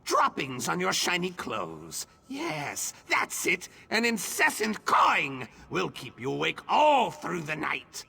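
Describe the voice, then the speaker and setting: Casting: pompous, threatening crow sentry for a fantasy game, high and wildly swooping, gravelly. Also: male voice, huge pitch swings, slow, few pauses, pushed and bright, full character voice. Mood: menacing, gloating. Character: haughty, menacing crow guard of a bird court. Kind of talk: fantasy video game character acting.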